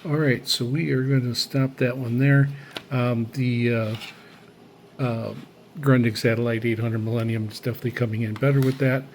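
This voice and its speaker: A man talks casually through a hands-on gear demonstration in a flat, even voice. He is halting and deliberate, working through it unscripted. Faint noise sits behind him. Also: mid-range voice. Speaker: shortwave radio hobbyist demonstrating receivers.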